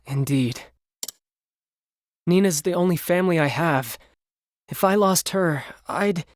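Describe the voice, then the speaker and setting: An actor playing a gentle, earnest young man who heals villagers in a video game, with polite, well-spoken delivery. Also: fairly high pitch, takes it slowly, no echo, clean studio sound. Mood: distressed. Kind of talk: video game cutscene acting. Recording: background music under the voice.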